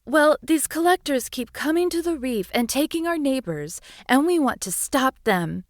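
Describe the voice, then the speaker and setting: A little seahorse girl, voiced by a woman reading a storybook, speaks high and earnest. She is polite and eager, with a lively lilt that suits a small young creature in a children's tale. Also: moderate pace, no echo, studio quality. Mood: earnest pleading.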